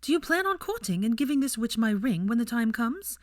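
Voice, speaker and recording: A woman's voice: fairly high pitch, big pitch swings, brisk, no echo, clean studio sound, voice pushed up. A woman voicing a haughty, disapproving aristocratic mother, curt and formal, in an audiobook story. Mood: cool disapproval. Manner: curt, formal, clipped disdain. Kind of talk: audiobook character voice.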